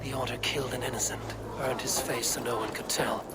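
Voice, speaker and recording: An actor playing a stern, shrewd Viking warrior, speaking in a measured, blunt, probing way. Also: male voice, mid-range voice, steady tone, heavy background noise, natural voice. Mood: pondering. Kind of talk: dramatic video game acting.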